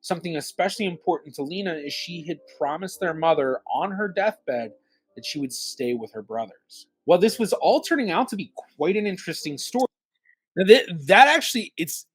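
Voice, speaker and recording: A man's voice: fairly high pitch, dry recording. A man hosting a genealogy channel, narrating and chatting in loose, self-correcting asides. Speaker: genealogist YouTube host. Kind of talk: reaction commentary and narration.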